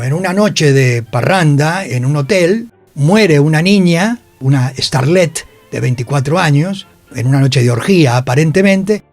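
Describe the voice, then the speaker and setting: Argentine guy on a radio interview, kinda high voice, really emphatic, repeats himself, dry sound w music behind. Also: darkish tone, no room sound. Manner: emphatic, repeats phrases for stress, swears freely. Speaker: interview guest, actor. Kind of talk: animated storytelling in a radio interview. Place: radio studio.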